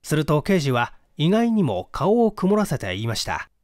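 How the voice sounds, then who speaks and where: Casting: male audiobook narrator for mystery fiction, fairly high voice, very varied intonation, theatrical yet careful. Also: huge pitch swings, moderate pace, darkish tone, dry recording, studio quality. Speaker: audiobook reader. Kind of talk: scripted first-person mystery narration with acted dialogue.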